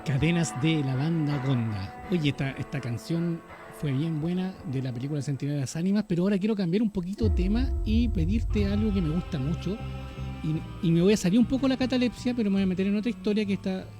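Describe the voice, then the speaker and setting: A man's voice: high voice, animated, fast, mellow, faint music behind. A man with a Chilean accent hosting a radio show, chatting casually and asking his guest for stories. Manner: chatty, asks for stories with short backchannels.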